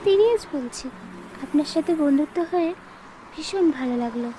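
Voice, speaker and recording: A woman playing a feisty yet courteous young college student in an audio story, with an expressive, dramatic delivery. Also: high voice, brisk, background noise. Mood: friendly. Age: young adult. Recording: music under the voice.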